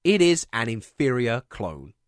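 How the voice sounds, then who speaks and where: A man's voice: medium pitch, very animated, a little slow, no echo, clean studio sound. A man hosting a video essay, reading scripted commentary with wry, sarcastic asides.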